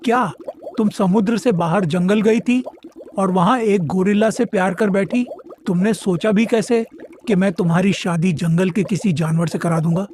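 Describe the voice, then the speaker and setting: A man's voice: high voice, steady tone, normal speed, darkish tone, slight background noise, close to an everyday voice. A man playing a stern, protective merman father in a cartoon moral story, scolding with authority. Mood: shocked, indignant.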